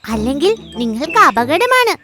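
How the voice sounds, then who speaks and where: A woman's voice: high voice, big pitch swings, moderate pace, sharp tone, soft background music, exaggerated voice. A woman voicing a haughty, mocking parrot in a children's cartoon. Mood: scornful, mocking.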